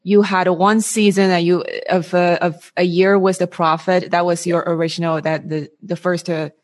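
A woman interviewing a guest in a casual way, halting and rephrasing her questions as she goes. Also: on the low side, flat delivery.

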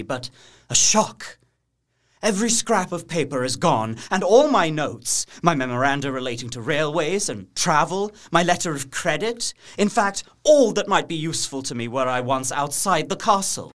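A man's voice: medium pitch, fairly bright tone, close to an everyday voice. Man acting an earnest, troubled young captive, faint music. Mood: alarmed. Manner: earnest, intense, formal. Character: distressed young Englishman imprisoned in a castle, journaling. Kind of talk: dramatic first-person journal narration.

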